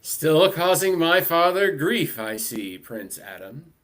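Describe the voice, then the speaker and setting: A man's voice: on the high side, sing-song, takes it slowly, a bit bright, exaggerated voice. A man voicing a scornful young woman warrior of the royal guard, sarcastic, in a dramatic comic book reading.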